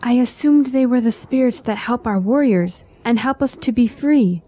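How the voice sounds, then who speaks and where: A woman's voice: a little high, moderate pace, dark tone, natural voice. A woman playing an anxious, devoted young-world fantasy queen in a radio drama, speaking earnestly and with worry. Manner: earnest, worried.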